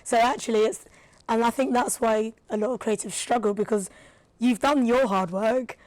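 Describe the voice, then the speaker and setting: A woman, a rapper and radio presenter, talking casually as a guest with a slightly raspy, tired voice and lots of fillers. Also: fairly high pitch, steady tone, normal speed, darkish tone, dry recording, poor audio. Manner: chatty, thoughtful, with frequent fillers. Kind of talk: casual podcast conversation.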